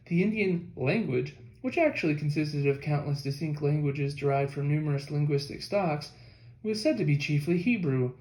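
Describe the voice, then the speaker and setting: A man's voice: fairly high pitch, normal speed, a little mellow. A man reading a nonfiction history book aloud as scripted audiobook narration. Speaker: audiobook reader. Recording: echoey room.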